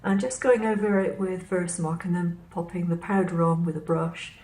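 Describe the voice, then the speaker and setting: A woman with a slightly hoarse voice, chatting casually as she demonstrates craft materials at her table, in an unhurried way. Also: on the low side, fairly even intonation, darkish tone. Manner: unhurried, chatty explaining while handling materials. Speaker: craft vlogger. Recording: roomy echo with faint background hum.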